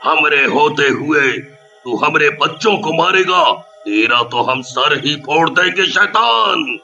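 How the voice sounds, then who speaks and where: A man plays a village headman in a storybook tale. He is blunt and blustering, grumbling in rustic phrases with a forceful, sharp voice. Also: on the high side, expressive, slow, lo-fi sound, soft background music, pitched below the performer's own voice, full character voice.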